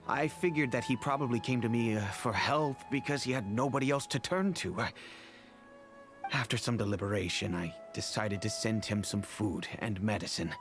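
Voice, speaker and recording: Actor as a low-voiced, earnest middle-aged father. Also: over music, lightly acted. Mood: regretful. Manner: measured, formal, earnest. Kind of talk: video game character acting.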